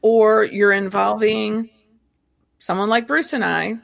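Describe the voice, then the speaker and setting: A woman from Ohio co-hosting a podcast, chatting in an animated way with quick asides. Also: mid-range voice, vocal fry. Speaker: podcast co-host. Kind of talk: casual podcast chat.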